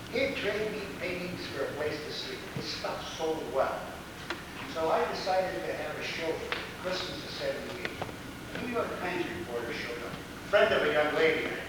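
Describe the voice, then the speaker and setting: A man's voice: fairly high pitch, normal speed, natural voice. A man acting in a stage play as a cynical, slick middle-aged art dealer, blunt and worldly, in a noisy, echoey recording from far off. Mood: worldly, self-justifying.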